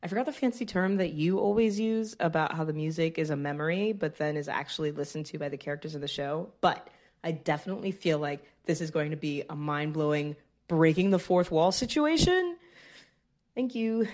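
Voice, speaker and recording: Woman, low-pitched, for dramatic readings of gushing fan emails; theatrical delivery. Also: a little fast, a little mellow. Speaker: guest reader of listener emails.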